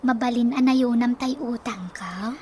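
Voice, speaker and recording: A woman's voice: on the high side, a little slow, dark tone, slight background noise, natural voice. A woman acting a brash, scheming mother who wheedles and teases in an Ilocano-accented Filipino comedy radio drama. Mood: coaxing, gleeful. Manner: wheedling, teasing. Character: brash scheming mother sweet-talking her creditors.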